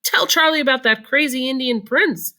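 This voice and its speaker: A woman's voice: high voice, moderate pace, bright, forceful tone, voice pushed up. A woman voicing an emphatic, encouraging old grandmother in a children's storybook. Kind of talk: children's storybook read-aloud.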